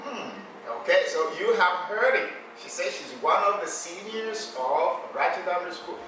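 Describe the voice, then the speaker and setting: A man's voice: high-pitched, a little slow, background noise. A man who teaches English hosts an interview, talking in an emphatic coaching tone and urging his students on. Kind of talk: motivational interview. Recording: echoey, distant mic, music behind.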